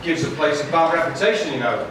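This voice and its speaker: A man's voice: fairly high pitch, lively intonation, fast, close to an everyday voice. A man voicing a grumpy, pompous old dragon in a live puppet show, indignant and chatty. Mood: pompous grumbling. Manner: indignant, pompous, chatty British grandpa phrasing. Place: large room, live audience puppet show. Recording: echoey hall, far from mic, noisy.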